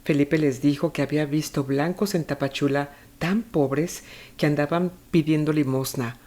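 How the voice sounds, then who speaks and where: A narrator retelling a novel from a script in a measured storytelling way, with deliberate pauses. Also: mid-range voice, steady tone, darkish tone. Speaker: narrator of a book review.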